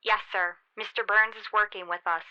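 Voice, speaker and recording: A woman's voice: mid-range voice, fairly even intonation, a little fast, smooth, dry recording, dull audio, lightly acted. A woman playing an eager, brave and deferential spaceship officer in a sci-fi radio drama, reporting in a clipped, respectful military way. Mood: earnest. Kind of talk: golden age sci-fi radio drama acting.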